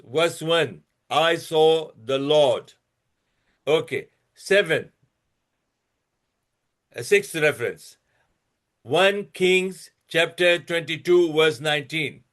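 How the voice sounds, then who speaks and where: Slow, deliberate man with a high voice. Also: fairly bright tone. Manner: slow, deliberate, with frequent restarts and fillers; blunt and scolding toward critics. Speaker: channel host presenting a religious comparison. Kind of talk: lecture-style presentation reading out references.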